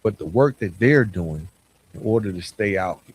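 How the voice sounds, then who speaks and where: A man hosting a podcast solo, speaking reflectively and earnestly in an informal way, often pausing mid-sentence. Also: low-pitched, lively intonation, breathy edge, rough recording. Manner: earnest, halting delivery with pauses mid-sentence. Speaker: podcast host.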